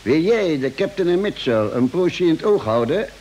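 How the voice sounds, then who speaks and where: An actor playing a steady, concerned space-expedition doctor in a vintage radio serial. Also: male voice, on the high side, normal speed, lo-fi sound. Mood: calm, concerned. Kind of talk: vintage Dutch radio drama acting. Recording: hissy vintage radio recording.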